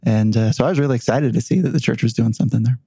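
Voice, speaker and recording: A man's voice: medium pitch, very fast, vocal fry, mellow, breathy edge, no echo. A middle-aged man serving as a bishop, speaking earnestly with frequent hesitant fillers. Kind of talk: casual discussion.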